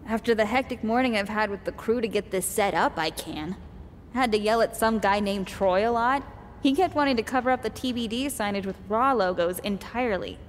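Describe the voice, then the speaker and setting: A woman's voice: mid-range voice, brisk, long reverb tail, a little hiss or hum, close to an everyday voice. An actress playing a brash, wry woman who runs an indie wrestling promotion, in a video game cutscene. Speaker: wrestling promotion crew boss.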